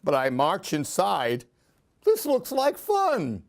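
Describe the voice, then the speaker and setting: A man reading a children's story aloud in an expressive, theatrical, singsong way. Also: high voice, slow pace, vocal fry. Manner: theatrical, singsong rhyming delivery.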